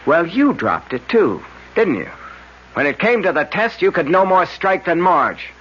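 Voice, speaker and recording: An actor playing a firm, scornful family attorney in a 1970s radio drama. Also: male voice, sits high, very animated, a little slow, a bit bright, a little hiss or hum, full character voice. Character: stern protective family attorney, middle-aged. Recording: muffled 1970s radio broadcast.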